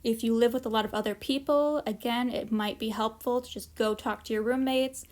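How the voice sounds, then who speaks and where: A woman's voice: fairly high pitch, steady tone, a little fast, a little mellow. A woman presenting an informal instructional workshop, explaining practical tips in a conversational way with casual asides.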